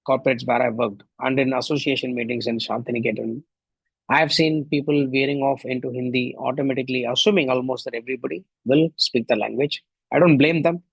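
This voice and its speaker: Indian guy in his 40s-ish on a podcast, gravelly, a bit husky, rambling opinion talk, lots of right?. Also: medium pitch, no room sound, poor audio. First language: Tamil. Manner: reflective, rhetorical questions, frequent "right?". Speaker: podcast host. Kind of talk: solo opinion monologue.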